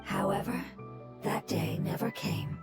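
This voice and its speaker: A woman voicing a gentle, earnest, wistful fungus creature in a video game, with formal, deliberate wording. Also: lightly acted. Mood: nostalgic. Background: loud background music.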